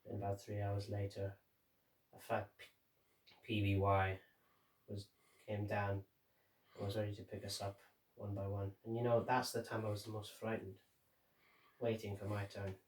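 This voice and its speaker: A man playing a grim, haunted sailor who survived a sinking, reciting a dramatic monologue in halting, measured phrases with deliberate pauses. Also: on the low side, monotone, natural voice. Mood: haunted, weary. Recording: roomy echo, distant microphone.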